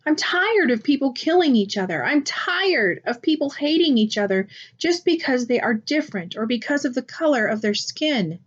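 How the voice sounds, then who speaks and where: A woman's voice: high voice, animated, moderate pace, few pauses, highs cut off, voice pushed up. A woman voicing an earnest young boy, worried and questioning, in a picture book read aloud. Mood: weary, pleading.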